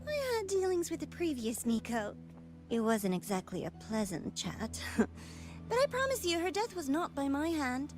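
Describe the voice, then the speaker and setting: A sly supernatural villainess from a video game, teasing and theatrical. The voice is high, with music playing softly beneath it. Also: female voice, huge pitch swings, normal speed. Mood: sly, taunting. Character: mocking, playful female supernatural schemer, taunting and theatrical. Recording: game audio with music underneath.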